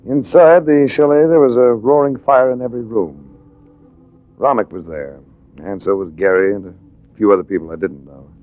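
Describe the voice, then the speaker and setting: Actor as a low-voiced, hard-boiled radio adventurer. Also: male voice, close to an everyday voice. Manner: wry, cool, tough-talking. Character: suave, hard-boiled male adventurer narrating his own case. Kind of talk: 1950s radio drama acting and narration. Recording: muffled, hissy vintage radio recording.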